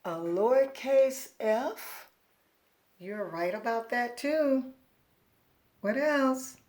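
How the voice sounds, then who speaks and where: A woman's voice: on the high side, extremely slow, darkish tone. A woman speaking as a teacher of young children, encouraging and patient, going step by step and posing questions to the listener. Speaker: preschool teacher. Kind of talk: children's lesson, asking and answering questions.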